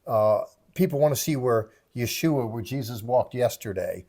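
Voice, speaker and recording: An older man hosting a ministry travel show, hearty and lively. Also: mid-range voice, normal speed. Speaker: host. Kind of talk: casual co-hosting.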